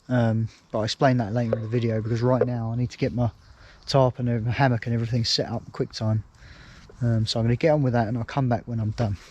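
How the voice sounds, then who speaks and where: A British man, slightly hoarse, talking casually to camera outdoors in the woods, rambling and thinking aloud with many ums and restarts. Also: mid-range voice, darkish tone, slight background noise. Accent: British English. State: slightly hoarse, losing his voice. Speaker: outdoors vlogger. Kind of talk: casual vlog talk to camera.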